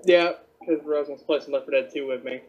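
A man's voice: a little high, fairly even intonation, fast, darkish tone, lo-fi sound. A man chatting casually as a co-host, relaying game news and reading bits aloud while he plays. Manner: plain, reads announcements aloud while gaming. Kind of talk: casual chat over a call.

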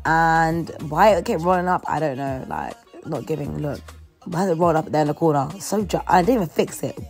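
A young woman with a London accent talks in a slightly low voice. She is informal and playful, full of slang, as if chatting to her followers about her morning. Light music plays behind her. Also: fairly quick, darkish tone. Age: early 20s. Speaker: vlogger. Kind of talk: casual, chatty morning-routine vlog narration.

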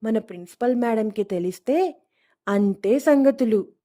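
A woman's voice: on the high side, expressive, measured pace, warm tone. A woman storyteller voicing a gossipy, alarmed schoolgirl who tattles dramatically in a children's story.

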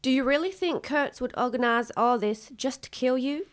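A woman's voice: high voice, normal speed, lightly acted. An actress playing a firm, determined woman who owns a ranch in a western radio drama. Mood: worried. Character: tough female ranch owner.

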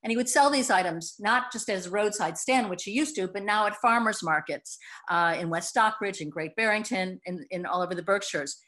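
A woman's voice: mid-range voice, a little fast, fairly bright tone. An older woman, a cookbook co-author, presenting a talk earnestly over a video call from home, with frequent fillers. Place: wood-paneled room at home. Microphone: laptop video call.

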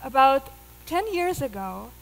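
A woman's voice: high-pitched, sing-song, a little slow, gravelly, long reverb tail, rough recording. A woman in her thirties from Russia with a Russian accent, telling a personal story in an earnest way as a speaker on a theater stage, miked with a headset. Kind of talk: live stage talk.

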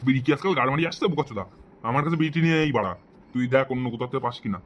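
A man's voice: medium pitch, lively intonation, very fast, lo-fi sound, close to an everyday voice. A man voicing an exasperated, sarcastic, foul-mouthed friend in a comic cartoon.

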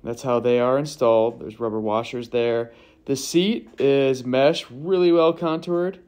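A man's voice: mid-range voice, fairly even intonation, unhurried, darkish tone. A man narrating a walkthrough of a kayak's features in an easygoing manner, listing them in short phrases. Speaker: kayak reviewer.

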